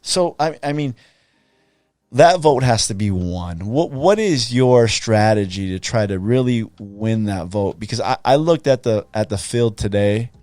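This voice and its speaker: A male podcast host chats casually and haltingly, repeating words as he works toward his question. His voice is smooth and clear. The recording is dry and close to the mic. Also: on the low side, expressive, darkish tone, no room sound. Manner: chatty, halting, full of fillers and repeats. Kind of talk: casual interview conversation.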